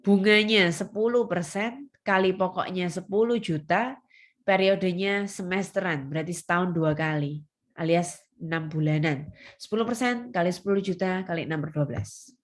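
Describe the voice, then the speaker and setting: Low-pitched woman lecturer. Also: normal speed. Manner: instructive, emphatic on key terms, asks and answers own questions. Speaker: accounting lecturer. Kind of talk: step-by-step lecture to students.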